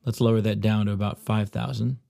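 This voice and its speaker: A man presenting an audio software tutorial, narrating instructional steps as he demonstrates on screen. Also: on the low side, flat delivery, a little fast, vocal fry, no echo.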